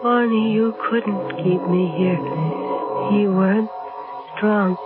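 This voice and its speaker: Over muffled, narrow old radio sound with music behind, an actress plays a dead wife's ghost. She clings and reproaches in slow, drawn-out pleas, in the manner of seventies radio drama. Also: medium pitch, steady tone, natural voice. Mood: sad reproach. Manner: slow, drawn-out, imploring delivery. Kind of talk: 1970s radio drama acting.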